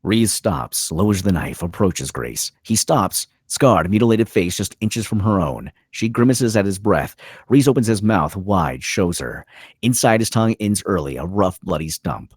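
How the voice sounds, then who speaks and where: A man's voice: sits low, animated, unhurried, breathy edge, no room sound, studio quality. A man reading screenplay stage directions as scripted narration, in terse, dramatic phrases.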